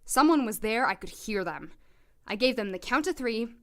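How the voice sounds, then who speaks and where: A woman's voice: fairly high pitch, animated, moderate pace. A woman playing a composed, plucky woman doctor in an audio drama, speaking politely and directly. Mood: recounting.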